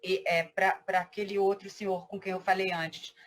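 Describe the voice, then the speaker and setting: A woman's voice: mid-range voice, little pitch movement, normal speed, a little hiss or hum. Woman moderator, easy and courteous, runs the floor. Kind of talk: casual conversational moderation.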